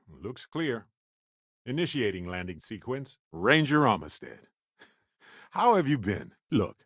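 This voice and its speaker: A male actor playing a wry, warm-hearted space explorer companion in a video game, with a wisecracking, conversational delivery. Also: medium pitch, no room sound, studio quality. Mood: businesslike, then wry, sarcastic.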